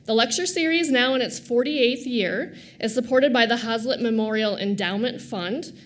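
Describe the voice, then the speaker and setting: A woman's voice: on the high side, normal speed. A woman faculty host making announcements before a lecture in a lecture hall, with frequent fillers. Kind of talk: event announcements.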